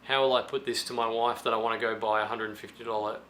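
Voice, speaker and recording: A slightly hoarse man with a sore throat, casually thinking aloud through a do-it-yourself how-to demonstration with many restarts. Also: on the low side, flat delivery, quick pace, a bit bright, a little hiss or hum. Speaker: DIY guitar pedal vlogger.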